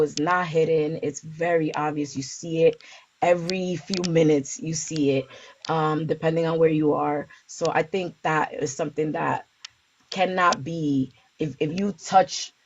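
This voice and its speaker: Low-voiced New woman, dull noisy recording. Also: fairly even intonation, unhurried. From the Bronx, New York, United States. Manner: informal, hesitant, searching for words. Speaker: interview guest, community organizer. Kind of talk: casual spoken interview answers. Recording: dull lo-fi audio with background noise, clicks.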